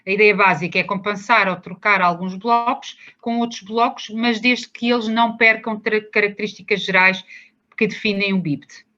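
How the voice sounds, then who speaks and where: Bright-voiced woman presenting over dull call audio. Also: mid-range voice. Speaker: conference presenter. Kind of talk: academic presentation. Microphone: video call.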